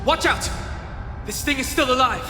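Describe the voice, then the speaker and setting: A man's voice: very high-pitched, normal speed. An actor playing an alarmed young male fighter in a video game battle. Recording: echoey reverb, loud music behind.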